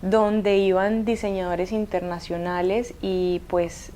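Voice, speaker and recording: Woman, 30s, Cuban-Colombian accent, slow and unhurried, hesitant, faint background noise. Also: mid-range voice, fairly even intonation, mellow. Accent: mixed Cuban and Colombian Spanish. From Cuba. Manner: hesitant, many fillers and restarts. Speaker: guest. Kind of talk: interview storytelling.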